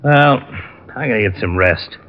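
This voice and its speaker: An actor playing a steady, tired police lieutenant with a plain businesslike manner in an old radio drama. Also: male voice, on the low side, moderate pace, lightly acted. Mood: weary. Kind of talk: 1940s-50s radio police drama acting. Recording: muffled old radio with hiss, clicks.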